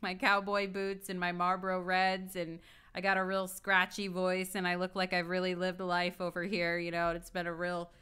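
A woman's voice: on the low side, monotone, normal speed, pushed and bright, clean tone. A woman putting on a scratchy voice as a weathered, world-worn smoker in cowboy boots. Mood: jaded.

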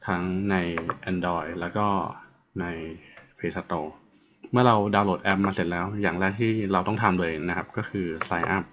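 A man presenting an informal tutorial, explaining step by step in a halting way. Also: low voice, steady tone. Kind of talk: informal app walkthrough narration. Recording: muffled, dull audio with faint noise and clicks.

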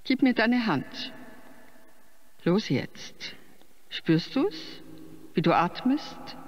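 Casting: pushy, seductive woman choir teacher, radio play, slow delivery, wide swoops, slightly dull sound. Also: mid-range voice, sing-song, long reverb tail, exaggerated voice. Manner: commanding, suggestive, slow and drawn-out. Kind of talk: radio drama acting.

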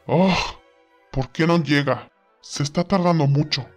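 Man playing an impatient, higher-voiced young bridegroom. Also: measured pace, dull audio, faint music behind, natural voice. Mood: irritated. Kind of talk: scripted audio drama.

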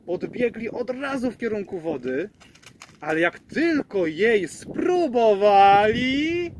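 Animated high-pitched male children's storyteller. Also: noisy background. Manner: dramatic, drawn-out delivery.

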